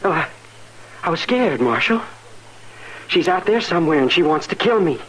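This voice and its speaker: A man's voice: on the high side, sing-song, fairly bright tone, slightly rough, heavily acted. Agitated young frontier farm boy. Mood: frightened, evasive. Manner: halting, agitated. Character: frightened, sullen, evasive young frontier farmer's son. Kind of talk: 1950s radio western acting. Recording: noisy vintage 1950s radio recording.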